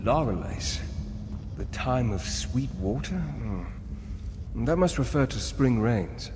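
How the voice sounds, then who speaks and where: An actor playing a pensive, scholarly male companion in a fantasy game, speaking in a measured, pondering way. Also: mid-range voice, big pitch swings. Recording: long reverb with clear background noise.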